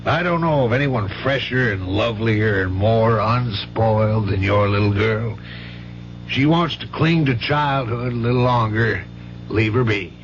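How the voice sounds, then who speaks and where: A man's voice: lowish voice, measured pace. An actor playing a firm, kindly, plain-spoken country family doctor in a 1970s radio drama, with folksy, fatherly emphasis. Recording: muffled, noisy old radio broadcast with hum.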